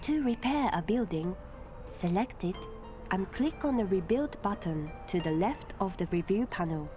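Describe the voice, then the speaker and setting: A woman voicing a game's tutorial advisor in calm, measured, scripted narration. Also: mid-range voice, natural voice. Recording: game audio with music.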